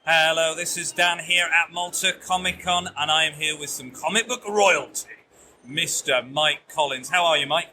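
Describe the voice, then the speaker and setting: A man's voice: a little high, normal speed, sharp tone, a little hiss or hum. A man from England hosting a casual podcast interview at a convention, chatty, laughing often and tripping over his words. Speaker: podcast host. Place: busy convention floor.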